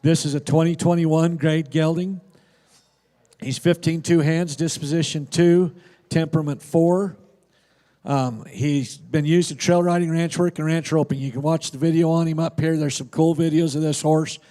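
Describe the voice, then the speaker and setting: A man's voice: fairly high pitch, fairly even intonation, moderate pace, mellow, long reverb tail. A man announcing horse sale lots, describing each horse in a plain, informative way with casual fillers. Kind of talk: reading lot descriptions.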